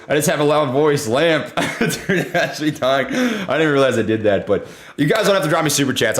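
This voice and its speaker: A young man hosting a casual livestream, talking loudly and excitably while reading chat. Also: medium pitch. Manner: loud, excitable, says he always yells. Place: home room.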